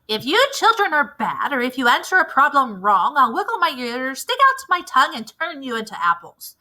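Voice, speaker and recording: A woman's voice: sits high, very animated, normal speed, pushed and bright, full character voice, rough recording. A woman voicing a mean, bossy female schoolteacher in a storybook, with a stern, threatening manner. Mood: menacing.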